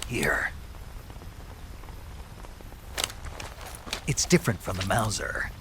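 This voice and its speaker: An actor playing a stern, protective, battle-hardened soldier in a dramatic video game, with a gruff voice. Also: male voice, low-pitched, sing-song, moderate pace, in a whisper. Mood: calm, instructive.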